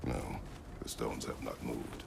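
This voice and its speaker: An actor playing a grim, stern warrior god in a video game, speaking tersely with a very deep, gravelly voice. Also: male voice, a little slow, a little hiss or hum, close to an everyday voice. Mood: gruff. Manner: terse, clipped, low-spoken.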